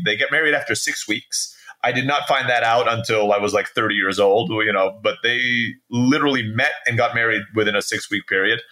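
Interview guest: American male, forties, bright forward voice, casual conversational storyteller. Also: medium pitch, low-quality recording. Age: 40s. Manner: conversational, peppered with "right?" tags.

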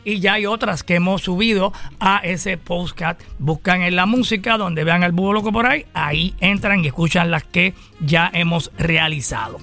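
An energetic Puerto Rican man hosting a radio interview show with enthusiasm. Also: high-pitched, moderate pace, soft background music. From Puerto Rico. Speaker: radio host.

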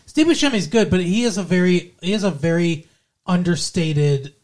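A man's voice: high voice, lively intonation, normal speed, a little mellow. A man co-hosting a podcast, casually talking through a film's plot and rambling with frequent restarts. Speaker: podcast co-host. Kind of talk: casual movie review chat.

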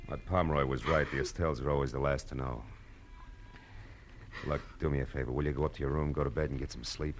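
Very deep-voiced hard-boiled private eye. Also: male voice, steady tone, quick pace, slight background noise. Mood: probing. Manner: wry, clipped, slangy. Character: hard-boiled wisecracking male private detective. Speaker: lead actor and narrator. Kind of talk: 1940s radio detective acting and narration. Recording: old radio drama with music behind.

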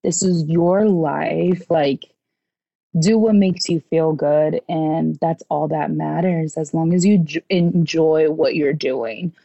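A woman in her twenties, a podcast guest in casual chat, animated and swearing now and then as she acts out what people said. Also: low voice, slow, gravelly, no room sound, dull audio. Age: 20s.

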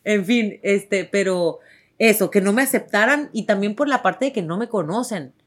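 A woman's voice: medium pitch, animated, normal speed. A young woman from Tijuana with a northern Mexican accent, telling her story to viewers in a chatty, emphatic way full of fillers. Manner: chatty, warm and emphatic, many fillers and restarts. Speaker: actress.

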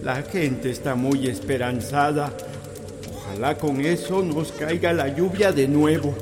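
An actor playing an old male village healer who gives calm, firm instructions. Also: fairly high pitch, a little slow, in a large hall, music in the background, natural voice. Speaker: actor in a sound drama.

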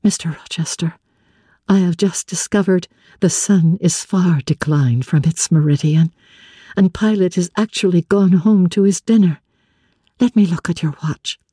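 Woman voicing a breathy, devoted young woman in love. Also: lowish voice, a little slow, no echo, clean studio sound, pitched above the performer's own voice. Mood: gentle, practical. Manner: soft, breathy, tender and respectful. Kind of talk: dramatic audiobook reading of classic literature.

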